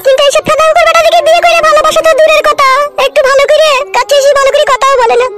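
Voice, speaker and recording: Squeaky chipmunk-fast angry cartoon wife, nagging nonstop. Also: female voice, steady tone. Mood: bitter. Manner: shrill, nagging, cursing. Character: furious young wife scolding her husband. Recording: loud hissy noise behind.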